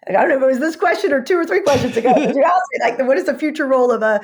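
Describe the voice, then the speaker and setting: A woman's voice: sits high, lively intonation, racing, breathy edge. A woman answering as a podcast guest in conversation, speaking emphatically and often repeating words as she goes. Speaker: podcast guest, marketing executive.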